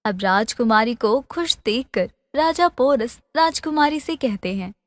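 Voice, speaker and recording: A woman's voice: a little high, lively intonation, measured pace, no echo, clean studio sound. A woman storyteller reading a scripted fairy-tale narration.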